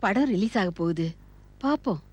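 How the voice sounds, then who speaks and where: A woman's voice: mid-range voice, animated, normal speed, darkish tone, breathy edge, dry recording. An actress playing a resolute, reassuring middle-aged mother in a TV family serial. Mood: firm, reassuring. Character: resolute, reassuring mother of a wayward married son. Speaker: actor in a TV serial.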